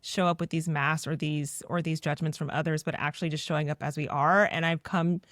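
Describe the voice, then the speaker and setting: A woman's voice: deep voice, fairly even intonation, fairly quick, no echo, clean studio sound. A woman hosting a podcast, speaking earnestly and reflectively and asking guiding questions. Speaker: podcast host.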